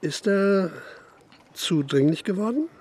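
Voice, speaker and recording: An actor playing a sober police investigator in a German radio drama, questioning in a measured, insistent way. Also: male voice, sits high, animated, a little mellow, breathy edge, exaggerated voice. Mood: probing. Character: probing male police investigator, sober and persistent.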